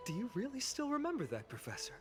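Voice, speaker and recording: A man's voice: very high voice, wildly swooping pitch, quick pace, over music, full character voice. A man voicing an earnest young hero in a video game, a polite and eager former student. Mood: playful, eager.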